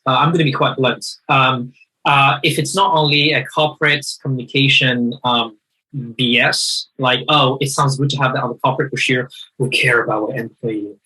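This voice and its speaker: Man, bright tone, slightly husky, animated, emphatic, rephrasing as he goes. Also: medium pitch, moderate pace, rough recording. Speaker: guest speaker. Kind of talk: conversational discussion.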